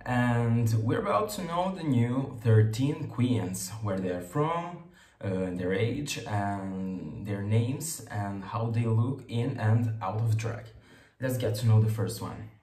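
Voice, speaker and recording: A man's voice: medium pitch, expressive, a little mellow, reverberant. A young man hosting a casual reaction video from his bedroom, chatty and laughing often, picked up by a webcam at desk distance. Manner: chatty, laughs often, slow deliberate phrasing. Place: bedroom, indoors.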